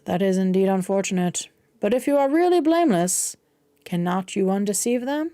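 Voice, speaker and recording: A woman's voice: medium pitch, animated, normal speed, dark tone, no room sound, clean studio sound, lightly acted. A woman voicing a kindly, courteous blind old man in an audiobook, with a Southern US accent and an earnest, reassuring, formal manner. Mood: curious. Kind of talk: audiobook character voice.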